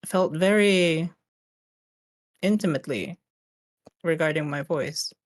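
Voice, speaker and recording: A man with a Filipino English accent and a naturally high-pitched voice, chatting playfully with his raid group over a voice call. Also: slow, dry recording. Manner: playful, teasing, laughs often. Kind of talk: casual voice chat while gaming.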